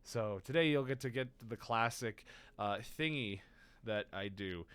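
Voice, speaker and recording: A man's voice: animated, unhurried, a bit bright, smooth. Tired young man rambling on stream. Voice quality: deeper voice than usual today. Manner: rambling, playful, self-mocking. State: tired and exhausted. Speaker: stream host. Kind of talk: casual livestream chat.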